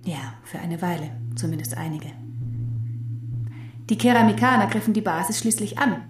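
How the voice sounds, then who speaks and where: An actress in a German radio drama playing a knowing teacher who explains history in a deliberate, emphatic way. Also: female voice, lowish voice, echoey room, a little hiss or hum, close to an everyday voice. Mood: matter-of-fact, emphatic. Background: music.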